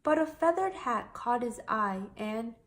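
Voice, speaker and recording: High-voiced woman reading a storybook. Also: lively intonation, unhurried. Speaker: storyteller reading a children's picture book aloud. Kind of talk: expressive read-aloud narration for children.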